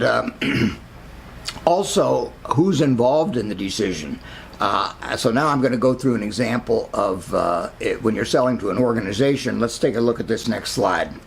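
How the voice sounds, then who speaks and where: An older man with a gravelly, fry-laden voice, speaking at a middle pitch as he gives a relaxed marketing lecture to camera. He talks haltingly, with plenty of ums and restarts, and faint noise sits behind him. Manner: halting, many fillers and restarts. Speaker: marketing professor. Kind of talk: casual video lecture.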